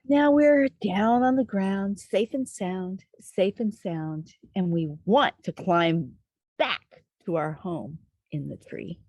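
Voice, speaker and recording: A woman reading a picture book aloud to young children outdoors, expressively, pausing to put questions to the listener. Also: medium pitch, darkish tone, no room sound. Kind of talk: animated read-aloud storytelling for young children. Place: outdoors in a backyard with trees.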